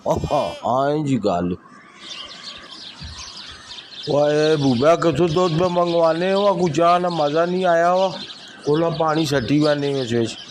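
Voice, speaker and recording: A man's voice: a little high, normal speed, a little hiss or hum, lightly acted. A man playing a chatty, grumbling, hard-up villager in an amateur rural audio drama, speaking colloquially.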